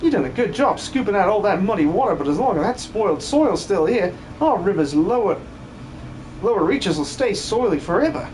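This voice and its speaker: A man's voice: high voice, lively intonation, a little fast, a little mellow, a little hiss or hum, low-quality recording. A man voicing an eager, folksy country villager in a hobby video game dub, effusive and exclamatory. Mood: cheerful, earnest. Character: eager, chirpy country villager tending a river.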